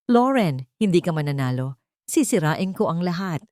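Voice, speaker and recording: A woman voicing a cornered, furious male villain shouting denials in an audio drama story. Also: lowish voice, big pitch swings, moderate pace, dry recording, studio quality. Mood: furious threat.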